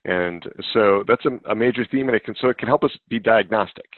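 A man co-hosting a conversational podcast, earnest and thoughtful, speaking in a measured way. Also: on the low side, dry recording. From United States. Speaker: podcast co-host, psychologist. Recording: phone-like remote call line.

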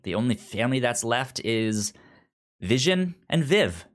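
A man with a mid-pitched voice co-hosts a podcast in a casual, conversational way. He speaks haltingly, often stammering and repeating the start of a word before moving on. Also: sing-song. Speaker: podcast co-host. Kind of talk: casual chat reviewing a comic book.

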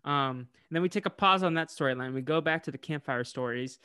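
Guy on a podcast recapping a cartoon, kinda high voice, laughs a lot, dry close mic. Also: a little fast, clear, resonant tone, no echo. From United States. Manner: animated storyteller, laughs often. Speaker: podcast co-host. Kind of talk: casual recap chat.

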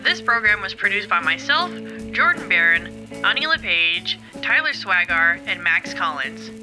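A woman's voice: medium pitch, big pitch swings, a little slow, low-quality recording. A woman reading scripted podcast credits as a producer and announcer. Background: music.

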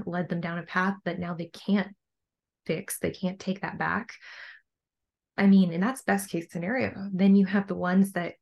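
A young adult woman with a low voice, talking casually and earnestly as a coach being interviewed on a podcast. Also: creaky, darkish tone. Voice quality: low-pitched voice. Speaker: bodybuilding coach and podcast guest.

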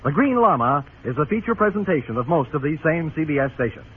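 A man announces in a theatrical old-time radio style. His voice is somewhat high and very lively in pitch, and the broadcast sounds thin and tinny. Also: fast, slightly rough. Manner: theatrical, formal.

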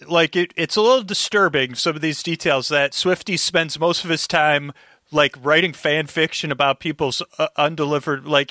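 A man's voice: on the high side, bright, forceful tone, dry recording, studio quality. A man chatting casually as a podcast guest, rambling as he thinks aloud. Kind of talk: casual chat.

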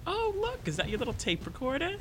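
Casting: sassy teen best friend, fussy and teasing, wildly animated pitch, podcast drama, faint noise. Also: male voice, fast, exaggerated voice. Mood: fussy, playful. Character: sassy, playful teenage boy best friend. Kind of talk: fiction podcast acting.

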